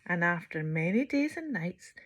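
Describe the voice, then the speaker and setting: A woman storyteller reading to children theatrically, drawing out words and dropping into hushed near-whispers. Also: on the low side, sing-song, moderate pace, a little hiss or hum.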